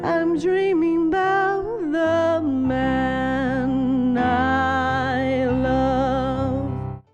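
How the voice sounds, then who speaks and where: Female torch singer for a radio play, drawn-out high notes over prominent accompaniment. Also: monotone, muffled recording, loud music behind the voice. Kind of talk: slow vintage torch-song singing. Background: loud musical accompaniment.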